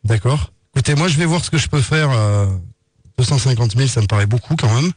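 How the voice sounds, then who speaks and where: A man's voice: medium pitch, lively intonation, quick pace, slightly rough, dry recording. A man playing a polite, insistent marketing assistant for a betting company on a prank phone call, coaxing with hesitant sales talk. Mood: negotiating, coaxing. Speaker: prank caller.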